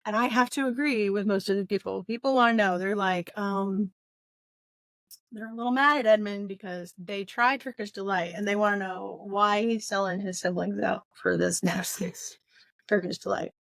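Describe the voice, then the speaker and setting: Female co-host, conversational and opinionated, medium pitch, dry studio-like sound. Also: steady tone, normal speed, creaky, a bit bright, no room sound. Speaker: book podcast co-host. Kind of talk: casual book discussion.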